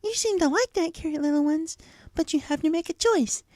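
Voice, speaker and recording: A man voicing a shy, gentle young female pegasus pony, soft-spoken, sweet and hesitant, in a fan story reading. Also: very high voice, brisk, no echo, clean studio sound, pitched above the performer's own voice. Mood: kindly, eager.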